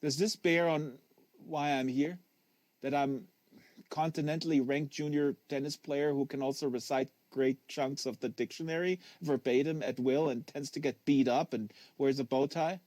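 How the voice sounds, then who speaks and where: A man's voice: a little high, steady tone, moderate pace, darkish tone, no room sound, lightly acted. A man reads as a precocious young boy in a literary audiobook. He is wary and literal, stumbling over some words and exact to the point of pedantry. The sound is close and dry. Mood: earnest, inquiring. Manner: hesitant, stammering, pedantically exact. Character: precocious, wary, literal-minded ten-year-old tennis prodigy boy.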